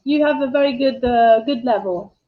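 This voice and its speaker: Woman english tutor, fairly high voice, friendly, muffled lo-fi call audio. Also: moderate pace. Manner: friendly, laughs easily, hesitant fillers. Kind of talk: casual video-call chat. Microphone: video call.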